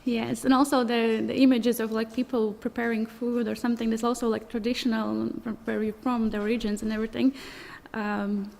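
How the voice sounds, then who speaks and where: A woman's voice: fairly high pitch, flat delivery, a little fast, creaky, hardly any pauses, a little mellow, low-quality recording. A woman moderating a festival Q&A, asking questions hesitantly and filling gaps with "uh" and "like". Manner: hesitant, with many "uh" and "like" fillers. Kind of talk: hosting an interview.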